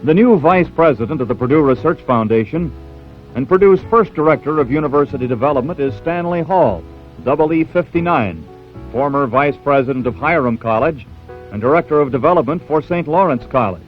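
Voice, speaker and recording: A man's voice: on the high side, animated, fairly quick, highs cut off, faint music behind. A man with an American accent reading scripted narration as a newsreel narrator, on a 1970s soundtrack.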